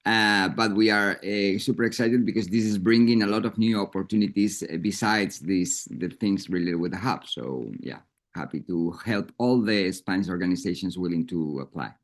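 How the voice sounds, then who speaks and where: A man with a fairly low voice speaks on a call in an upbeat, rambling way. He trails off with a string of fillers at the end. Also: normal speed. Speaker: network representative. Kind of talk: online webinar introduction.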